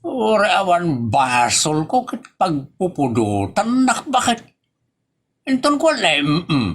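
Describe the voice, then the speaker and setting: Man acting husky, animated, defensive Ilocano husband. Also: sits high, takes it slowly, heavily acted. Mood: indignant. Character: gullible, defensive family man who lends money freely. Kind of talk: Ilocano comedy audio drama acting.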